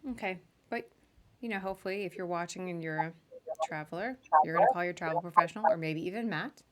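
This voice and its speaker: A woman's voice: lowish voice, quick pace, slight background noise. A woman hosting a podcast, chatting casually as she interviews her guest. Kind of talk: casual interview on a live video show.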